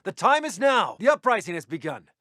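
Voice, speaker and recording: A man playing a military intelligence officer in a video game, briefing his commander in a crisp, formal, scripted delivery. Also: sits high, very animated, a little fast, sharp tone, no room sound, clean studio sound, full character voice. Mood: rousing.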